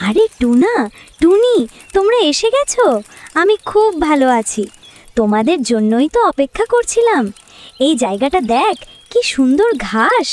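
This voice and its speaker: A woman's voice: high-pitched, sing-song, normal speed, darkish tone, pitched above the performer's own voice. A woman voicing an innocent, cheerful young female goat in a children's cartoon, breezy and trusting. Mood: cheerful welcome. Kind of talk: children's cartoon story dialogue.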